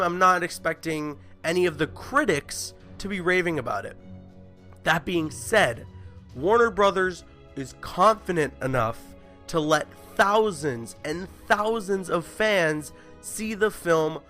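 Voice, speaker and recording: A man's voice: a little high, pushed and bright. A young man vlogging casual movie commentary while sick with a bad cold and sore throat, chatty and emphatic. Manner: chatty, filler-heavy, emphatic.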